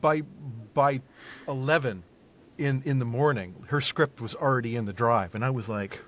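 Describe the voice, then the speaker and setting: A man chatting as an interview guest, explaining in a halting way and often repeating his words. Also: medium pitch. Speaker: audio drama festival organizer, podcast guest. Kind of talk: casual interview chat.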